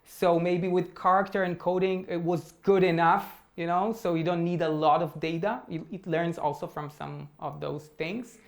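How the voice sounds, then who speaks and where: Halting male tech speaker. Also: a little high, fairly even intonation, gravelly. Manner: hesitant, many fillers and restarts. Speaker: tech talk presenter. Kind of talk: technical talk with audience Q&A.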